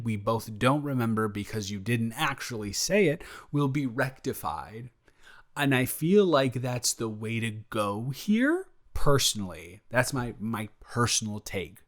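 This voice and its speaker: A man's voice: medium pitch, animated, unhurried, fairly bright tone. Man playing a flustered, rambling, joking male roommate. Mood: awkward, evasive. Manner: rambling, stammering, self-interrupting, playful. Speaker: audio roleplay performer. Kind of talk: improvised boyfriend roleplay addressed to the listener.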